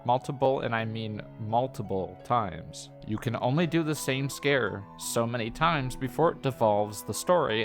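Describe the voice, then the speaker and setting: A man delivering a scripted video review voice-over as the host, in an opinionated, emphatic manner. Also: medium pitch. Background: background music.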